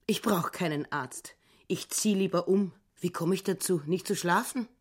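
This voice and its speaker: Radio play role: self-pitying housekeeper wife, lowish voice, plain-spoken, nagging, demanding. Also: female voice, moderate pace, husky. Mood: aggrieved, demanding. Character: self-pitying, grasping, grumbling housekeeper wife, no longer young. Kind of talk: German radio play acting.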